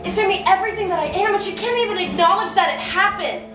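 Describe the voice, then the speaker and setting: A woman acting a wounded, defiant young woman in a confessional stage monologue. Her voice is high and emphatic, set back from the mic in an echoey room with music behind her. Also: fast talker, far from the mic. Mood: wounded defiance.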